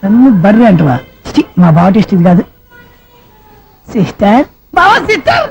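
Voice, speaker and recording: A fussy excitable movie sidekick, super high voice, swoops around, boastful and bumbling. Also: male voice, normal speed, creaky, heavily acted, rough recording. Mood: scheming. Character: excitable bumbling comic sidekick, eager to help. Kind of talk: Telugu film comedy acting.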